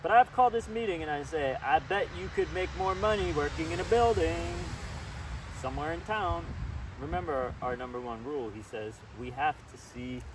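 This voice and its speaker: A man's voice: on the high side, moderate pace. A man reading a story aloud informally and chattily, with asides of his own, recorded outdoors. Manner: chatty, easily sidetracked, commenting as he reads. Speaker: storybook reader. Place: outdoors by a river. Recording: distant outdoor mic with steady background noise.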